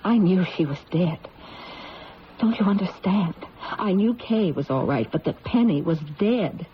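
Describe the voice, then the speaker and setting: Low-voiced troubled radio drama wife. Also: female voice, expressive, unhurried. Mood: troubled, scared. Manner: tense phrasing, serious, hesitant. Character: troubled, earnest wife haunted by foreboding. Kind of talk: 1970s radio drama acting. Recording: muffled old radio recording, faint noise.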